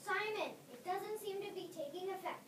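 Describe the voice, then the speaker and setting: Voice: very high-pitched, normal speed, a bit bright. A young reader playing an anxious, scolding schoolgirl in an amateur readers' theater performance. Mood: urgent. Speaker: student reader. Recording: distant mic in echoey, slightly noisy room.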